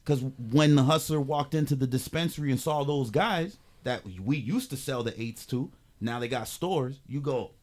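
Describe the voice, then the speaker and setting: A man in his forties from the San Fernando Valley, telling stories in a streetwise, animated way with lots of slang. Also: medium pitch, moderate pace, hardly any pauses. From San Fernando Valley, California. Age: 40s. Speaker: guest. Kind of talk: casual chat.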